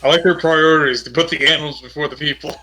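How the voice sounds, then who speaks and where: A man's voice: fairly high pitch, steady tone, fast, bright, forceful tone. A man chatting casually as a player in a tabletop role-playing game.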